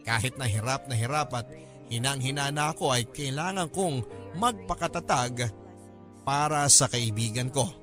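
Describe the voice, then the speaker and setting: A man's voice: medium pitch, normal speed. Man narrating over prominent music. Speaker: radio drama narrator reading a letter. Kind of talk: scripted first-person story narration.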